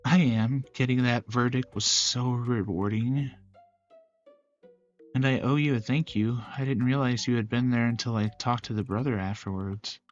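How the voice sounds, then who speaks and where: A man voicing an excitable younger woman in a visual novel, with an eager, lilting manner. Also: mid-range voice, normal speed, dull audio, soft background music, close to an everyday voice. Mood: grateful.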